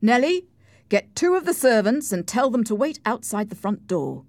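A woman voicing a stern, proper gentleman husband who speaks in a formal, commanding way in an audiobook story. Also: fairly high pitch, sing-song, moderate pace, dry recording, pitched above the performer's own voice. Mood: angry, commanding. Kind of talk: audiobook character acting.

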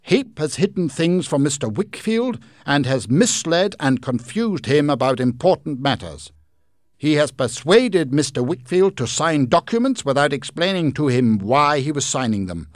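A man voicing a proud, pompous gentleman in a storybook reading, speaking loudly, formally and declamatorily. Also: fairly high pitch, sing-song, normal speed, no room sound, clean studio sound, pitched above the performer's own voice. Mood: declaiming. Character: proud, pompous middle-aged gentleman clerk.

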